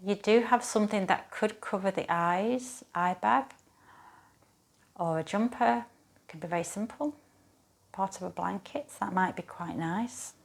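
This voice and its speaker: A woman speaking as a yoga teacher, guiding a relaxation practice in a soothing, gentle, unhurried manner with pauses. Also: medium pitch, gravelly. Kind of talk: guided relaxation, yoga nidra instruction.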